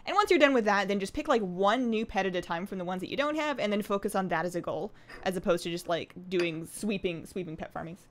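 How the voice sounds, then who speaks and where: A woman in her twenties chatting casually on a live game stream, rambling along in a bubbly, animated and giggly way. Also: medium pitch, fast. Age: 20s. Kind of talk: casual live stream chat while gaming.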